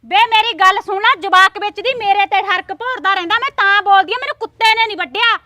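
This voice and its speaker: A woman acting a sharp-tongued village wife who scolds with biting, quick retorts. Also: very high-pitched, no room sound. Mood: scolding. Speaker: actor in a family quarrel skit.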